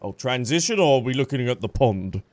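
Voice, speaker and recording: A man's voice: mid-range voice, lively intonation, brisk, a little mellow. A man giving casual gameplay commentary as a streamer, playful and chatty. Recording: game music behind.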